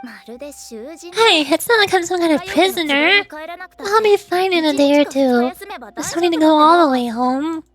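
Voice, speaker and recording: A woman's voice: sits high, lively intonation, a little slow, long pauses, soft background music. A woman voicing a stubborn, dutiful young female doctor from a game, a defensive little sister.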